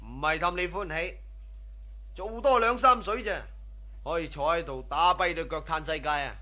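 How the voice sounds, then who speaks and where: An actor playing a boastful, scheming, worldly drug-running cousin in a radio drama. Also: male voice, on the high side, moderate pace, sharp tone. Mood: cocky, carefree. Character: swaggering, scheming drug-running cousin, a carefree womanizing man. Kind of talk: Cantonese radio drama acting.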